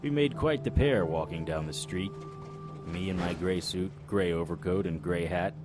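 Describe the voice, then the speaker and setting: An actor playing a serious, plain-spoken 1930s private detective in a noir audio drama. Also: male voice, sits low, moderate pace, close to an everyday voice. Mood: wry, reminiscing. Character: earnest, dutiful 1930s private detective, a man. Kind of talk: noir audio drama acting and first-person narration. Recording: music behind the voice.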